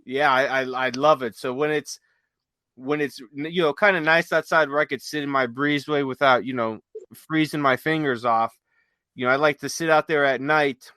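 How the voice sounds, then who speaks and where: A man's voice: mid-range voice, steady tone, normal speed, sharp tone, dry recording. A man co-hosting a podcast in casual, enthusiastic, rambling explanations, sometimes reading from notes. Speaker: podcast co-host. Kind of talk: casual chat with some read-aloud notes.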